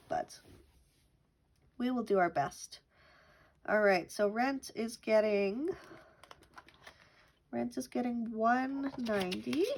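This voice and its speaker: A woman's voice: mid-range voice, slight background noise. A young woman who is sick with a cough. She chats casually while counting cash aloud as host of a budgeting channel at home. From Canada. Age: young adult. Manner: chatty, counts money aloud.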